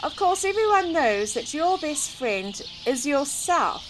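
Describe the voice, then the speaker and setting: A high-pitched woman narrates a children's storybook at an unhurried pace. Her delivery is lively and varied, as if speaking to small children. Birdsong and noticeable outdoor noise sit behind her voice. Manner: expressive, addressing young listeners. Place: outdoors in a garden. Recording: outdoor recording with clear background noise, birdsong.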